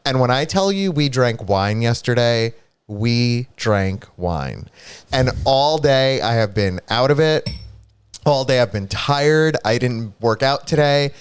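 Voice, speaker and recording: A male streamer with a congested, stuffy nose chats casually with his audience. His tone is smooth and resonant. The sound is dry and close. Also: medium pitch, takes it slowly, no room sound. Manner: chatty, reads viewer comments aloud, animated asides. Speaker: streamer co-host. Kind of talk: casual live-stream chat.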